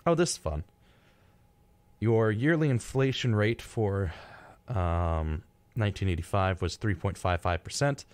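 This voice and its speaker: A man's voice: lowish voice, animated, a little slow, a little mellow, no echo. A young man hosting a radio show, chatting casually and reading out facts in an informal, wry way. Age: young adult.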